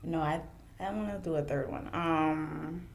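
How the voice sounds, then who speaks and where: A young woman whose first language is French, a podcast guest chatting casually and thinking aloud with pauses. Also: low voice, fairly even intonation, gravelly. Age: young adult. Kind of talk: casual chat.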